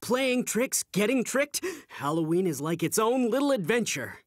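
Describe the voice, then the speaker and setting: A woman's voice: medium pitch, very animated, normal speed, dry recording, studio quality. A woman voicing a confident, adventurous young female game character. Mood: breezy, playful.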